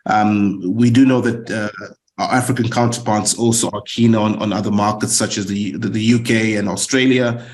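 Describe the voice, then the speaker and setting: A man's voice: lowish voice, fairly even intonation, moderate pace, a little mellow. A middle-aged man from Zimbabwe giving a webinar talk, with many fillers and repeated words. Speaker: guest speaker for a student lender. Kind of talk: webinar presentation.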